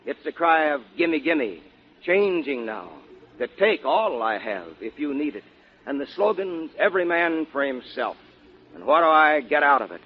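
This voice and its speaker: An American man giving a declamatory patriotic oration in deliberate phrases on 1940s radio. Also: fairly high pitch, big pitch swings, breathy edge. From United States, Kansas. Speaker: guest speaker. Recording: dull 1940s radio recording.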